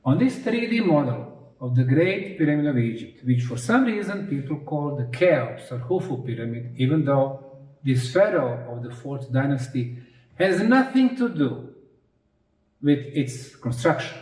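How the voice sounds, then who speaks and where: A man from Bosnia giving an explanatory lecture as a researcher, speaking in short, deliberate, emphatic phrases. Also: fairly high pitch, sing-song, a little mellow. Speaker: researcher presenting his analysis. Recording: roomy echo, distant microphone.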